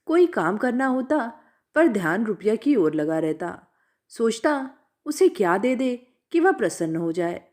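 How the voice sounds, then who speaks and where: A woman narrating a literary short story as an expressive storyteller. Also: on the high side, unhurried.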